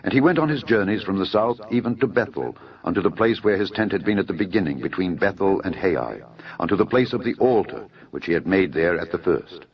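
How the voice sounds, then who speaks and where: A man giving a dramatised scripture narration in a solemn, measured storytelling manner. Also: low voice, expressive. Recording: dull lo-fi audio under music. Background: background music.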